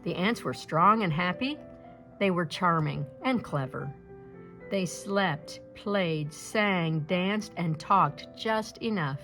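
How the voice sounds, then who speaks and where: Female picture-book reader, expressive and deliberate, with a lively pitch range over background music. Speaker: storybook reader. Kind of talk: scripted children's picture-book read-aloud.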